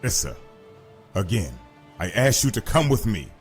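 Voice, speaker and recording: A man's voice: fairly low pitch, big pitch swings, moderate pace. A man playing a stern, righteous Jedi master in a comic dub, speaking in a grave, resolute, formal manner. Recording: faint music behind.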